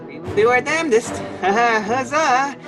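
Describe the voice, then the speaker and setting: A woman's voice: on the high side, unhurried, over music, close to an everyday voice. A woman playing an earnest, cheerful, plucky quest companion in a radio play, polite and resolute. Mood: resolute, upbeat.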